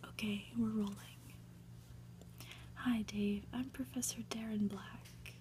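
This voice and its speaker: A woman voicing a smooth-talking, self-important, evasive male professor in a storybook, with small hesitations. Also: medium pitch, steady tone, slow pace, noisy background, lightly acted. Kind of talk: whispered storybook character reading.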